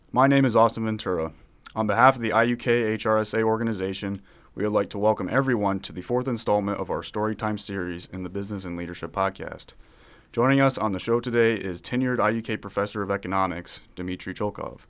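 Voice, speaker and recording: Low-voiced young man hosting formally. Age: young adult. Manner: formal, reads prepared lines. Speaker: student podcast host. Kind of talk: scripted intro and interview questions.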